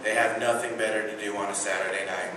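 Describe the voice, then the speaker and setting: A man's voice: on the low side, fairly even intonation, quick pace, crowd noise. Teenage boy doing stand-up in an echoey hall. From Indiana. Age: teens. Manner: self-deprecating, punchy delivery. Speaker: stand-up comedian. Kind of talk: stand-up routine. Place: large indoor venue with audience. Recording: echoey hall from far off with noise.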